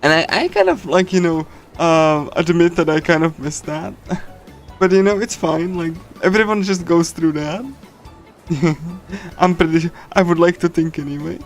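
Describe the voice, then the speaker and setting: Higher-voiced man chatting over game music. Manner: halting, giggly, chatty fan. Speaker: streamer reading a visual novel. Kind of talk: casual livestream chat with loose readthrough. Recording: music playing behind the voice. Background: game soundtrack music.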